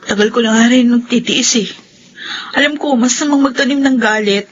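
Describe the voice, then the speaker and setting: A woman's voice: fairly high pitch, normal speed, dull audio, lightly acted. A woman playing a put-upon, aggrieved eighteen-year-old student in a Filipino radio drama, with a plaintive delivery. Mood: bitter, confiding.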